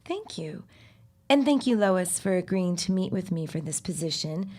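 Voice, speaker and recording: Composed, lowish-voiced society lady. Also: animated, moderate pace, dark tone. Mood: poised, businesslike. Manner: formal, precise, businesslike. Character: genteel, composed society lady interviewing an employee. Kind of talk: period audio drama acting.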